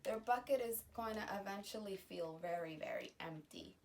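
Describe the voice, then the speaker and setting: A woman reading a children's picture book aloud, pausing to explain the story to young listeners. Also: medium pitch, vocal fry, distant mic, slight background noise. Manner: slow, gentle storytime delivery with explanatory asides. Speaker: children's read-aloud host.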